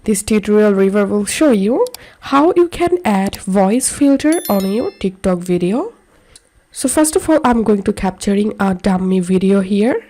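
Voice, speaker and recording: A woman's voice: medium pitch, lively intonation, moderate pace, warm tone. A woman presenting a scripted how-to tutorial in simple, step-by-step instructions.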